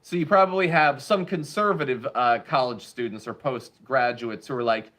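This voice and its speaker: A man's voice: medium pitch, normal speed, a bit bright. A man hosting a political commentary show, giving blunt, sarcastic opinions on the news.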